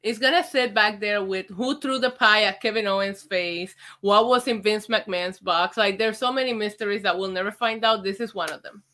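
A woman from Puerto Rico co-hosting a casual wrestling review chat, animated and chatty with lots of "like". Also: mid-range voice, normal speed, hardly any pauses. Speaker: wrestling show co-host.

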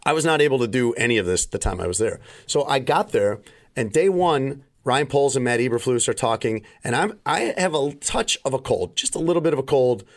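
A man's voice: mid-range voice, lively intonation, fairly quick. A middle-aged man hosting a podcast in casual chat, rambling through stories with plenty of fillers, his voice still recovering and a little limited in range. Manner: rambling storyteller, frequent fillers. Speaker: podcast host.

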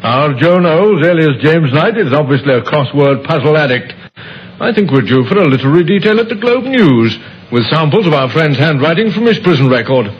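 An actor playing a brisk, shrewd British police inspector in a radio drama, clipped and authoritative, on an old recording. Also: male voice, fairly high pitch, animated, lo-fi sound, close to an everyday voice. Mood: commanding. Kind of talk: 1950s radio drama acting.

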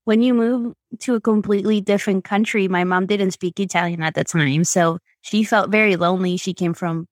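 A woman's voice: medium pitch, steady tone, fairly quick, hardly any pauses, no room sound. A woman with an Argentine Spanish accent, chatting as a podcast guest in a rambling, filler-filled way. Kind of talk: casual storytelling interview.

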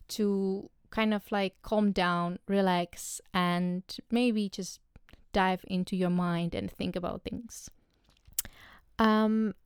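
A young woman with a Czech accent hosts a solo podcast in English, which is not her first language. She talks slowly and haltingly, with frequent pauses and restarts. Her voice is very clear and close, in a dry space with no echo. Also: medium pitch, a little mellow. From Czech Republic. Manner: hesitant, many ums and restarts. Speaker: podcast host.

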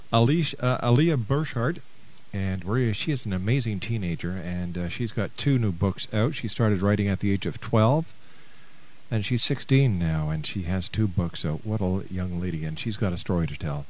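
A man with a fairly low voice hosts a radio talk show. He talks informally and folksily, chatting straight to his listeners.

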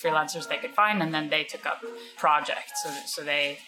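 A woman's voice: low voice, moderate pace, low-quality recording. A woman from Norway, a startup founder, talking casually as a podcast guest with many fillers and restarts. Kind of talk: casual interview talk.

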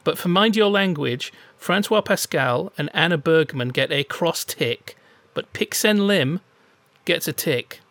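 A man's voice: on the high side, lively intonation, unhurried, a bit bright, dry recording. A man co-hosting a casual chat show, telling playful anecdotes. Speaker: podcast co-host.

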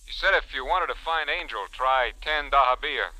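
An actor playing a plain-spoken, matter-of-fact bartender in 1940s radio drama. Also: male voice, mid-range voice, normal speed, pushed and bright, dry recording. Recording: hissy, crackly vintage radio recording.

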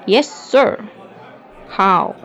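A woman's voice: mid-range voice, lively intonation, extremely slow, fairly bright tone, muffled recording, some chatter behind, full character voice. A woman with a Scottish accent playing a brash, cheeky, foul-mouthed young woman with a sarcastic manner. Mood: teasing.

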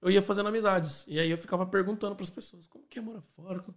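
Higher-pitched Brazilian man, slangy storyteller. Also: very fast. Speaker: musician guest. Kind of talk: casual storytelling in an interview.

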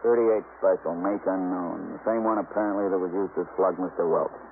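An American man playing a beleaguered New York homicide lieutenant in a 1950s radio drama, gruff, grudging and defensive. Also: sits low, a little fast. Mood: grudging report. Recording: faintly noisy, narrow-band 1950s radio recording.

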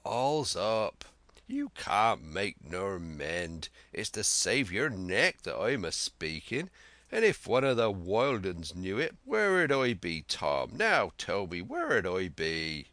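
A man voicing a sly, smooth-talking old one-legged pirate cook who coaxes in rough sailor talk, for a storybook reading. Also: sits low, big pitch swings, takes it slowly, pushed and bright, no echo, heavily acted. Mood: coaxing.

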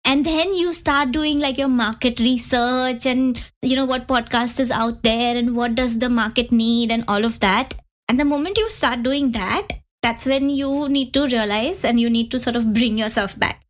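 Indian woman in her 30s, kinda high voice, talks loose and chatty with lots of "like" and "you know". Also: normal speed. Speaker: podcast guest. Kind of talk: casual interview chat.

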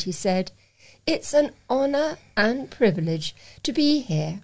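A woman's voice: medium pitch, a little mellow. British woman reading local news. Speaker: volunteer newsreader. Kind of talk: read-aloud local news.

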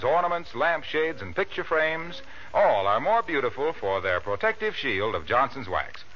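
A man's voice: on the high side, sharp tone. A man reading a scripted 1940s radio advertisement as announcer, with a polished, persuasive sales delivery. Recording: muffled, faintly noisy old radio recording.

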